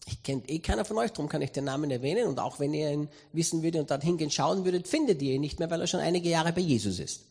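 High-voiced Austrian man preaching animatedly. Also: slightly rough. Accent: Austrian German. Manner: animated, humorous anecdotal delivery. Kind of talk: storytelling sermon.

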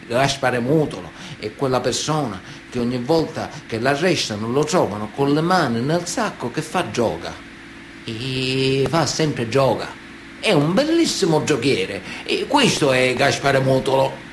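An actor playing a wily, indignant, semi-literate Sicilian mafioso with a Sicilian accent, pleading emphatically to a judge in a theatrical radio monologue with drawn-out pauses. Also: male voice, medium pitch, sing-song, slight background noise. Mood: sly, condescending. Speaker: stage actor.

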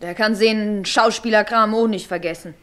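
An actress playing a brusque young rural maidservant in a German radio play, with a Silesian accent and an earthy, snappish way of talking. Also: female voice, medium pitch, fairly even intonation, normal speed, close to an everyday voice.

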